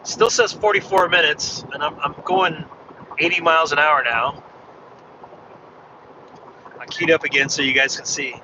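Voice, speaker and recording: A man slightly hoarse from losing his voice, chatting in a rambling way while driving. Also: a little high, measured pace, long pauses. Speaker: ham radio vlogger. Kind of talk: casual chat while driving. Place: inside a car on a freeway. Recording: dull muffled car recording with road noise. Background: road and engine noise.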